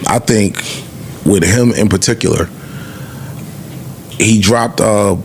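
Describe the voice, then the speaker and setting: A man with a fairly low voice talks as a podcast co-host. He is conversational, slangy and emphatic, and a rumbling noise sits behind his voice. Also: takes it slowly. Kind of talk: casual music-industry discussion.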